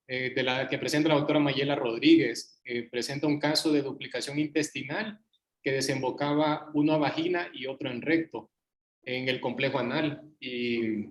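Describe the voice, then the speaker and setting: Hesitant man in echoey room. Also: mid-range voice. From Honduras. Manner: hesitant, deferential. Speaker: surgical resident, session moderator. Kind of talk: semi-formal moderating of an academic session. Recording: echoey, roomy sound.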